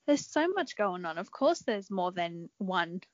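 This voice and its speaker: A woman's voice: fairly low pitch, big pitch swings, a little slow, no echo. Chatty woman host, dry muffled sound. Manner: chatty, laughing, filler-heavy. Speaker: podcast host. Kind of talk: casual interview chat.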